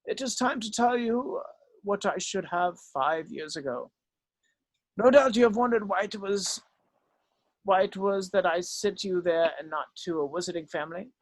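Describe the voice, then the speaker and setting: A man voicing a weary, gently remorseful old wizard headmaster in a script read-through, speaking in a measured, solemn way. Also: high voice, no room sound, low-quality recording. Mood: heavy, confessional.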